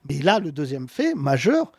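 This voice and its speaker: A man hosting a heated political debate, talking with passion and emphasis and wide swings in pitch. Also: wildly swooping pitch, moderate pace, husky, no echo, clean studio sound. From France. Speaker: host.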